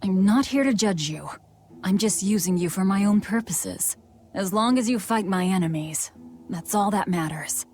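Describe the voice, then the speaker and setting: A woman's voice: mid-range voice, animated, moderate pace, a little mellow, a little hiss or hum, natural voice. A woman playing a cold, pragmatic, guarded young female fighter in dubbed game dialogue, terse and detached. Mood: cool, detached.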